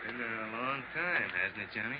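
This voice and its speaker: An actor playing a smooth-talking, menacing hoodlum boss in slangy tough-guy style for a 1950s radio crime drama. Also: mid-range voice, lively intonation, normal speed, natural voice. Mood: smooth, feigned innocence. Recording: dull, noisy 1950s radio recording.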